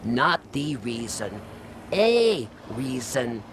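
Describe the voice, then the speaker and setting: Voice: medium pitch, very animated, extremely slow, background noise. Wildly swooping, scheming alien worm. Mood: ominous storytelling. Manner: clipped broken phrases, grandiose. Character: scheming parasitic alien worm, demanding and aggrieved. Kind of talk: dramatic game voice acting. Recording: loud music over voice.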